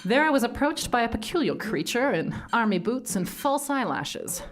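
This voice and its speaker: An anxious academic. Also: female voice, on the high side, big pitch swings, moderate pace. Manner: nervous, earnest. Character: anxious Canadian academic, Miss Prestoon. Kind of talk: live theatre radio drama.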